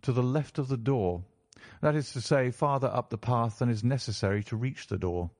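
A man voicing a keen, courteous gentleman detective who speaks in a measured, formal, probing way in a storybook. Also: medium pitch, voice pushed up, close to an everyday voice. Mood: composed, analytical.

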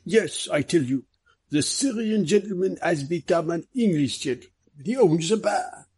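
A man voicing a foreign male detective who speaks halting, broken English and jokes dryly. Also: high voice, lively intonation, darkish tone, husky, voice pushed up, full character voice. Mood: teasing.

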